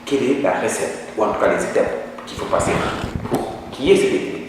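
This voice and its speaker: A man's voice: lowish voice, expressive, fast. A man asking an interview guest questions in a direct way. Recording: distant mic in an echoey room with faint noise.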